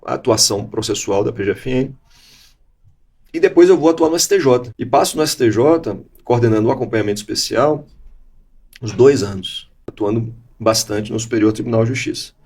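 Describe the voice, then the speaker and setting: Husky Brazilian man with mixed Bahian and Gaúcho accent. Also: medium pitch, a little mellow. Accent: mixed Bahian and Gaúcho Brazilian Portuguese. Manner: reflective storytelling with frequent restarts. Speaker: interview guest, law professor. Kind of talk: conversational interview.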